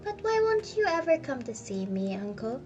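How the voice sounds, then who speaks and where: A woman voicing a warm, kind, cheerful nephew in a storybook reading, earnest and pleading. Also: sits high, sing-song, moderate pace, lo-fi sound, music in the background. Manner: earnest, pleading, bright-spirited.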